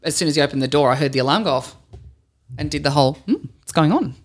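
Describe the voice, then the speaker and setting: A woman's voice: sits low, quick pace. A woman with an Australian accent hosting a casual podcast interview, chatting in a jokey, teasing manner. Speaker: podcast host.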